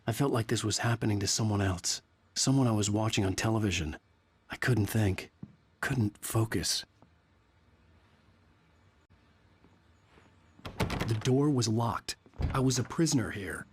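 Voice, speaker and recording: An actor playing a troubled, brooding writer in a psychological thriller. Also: male voice, on the low side, normal speed, lightly acted. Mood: numb, brooding. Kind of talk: scripted first-person narration.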